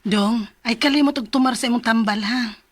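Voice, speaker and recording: An actress with a Cebuano accent playing a nagging but caring wife in a Philippine radio drama, expressive in her delivery. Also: a little high, a little fast, no echo, faint music behind, lightly acted. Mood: firm, caring.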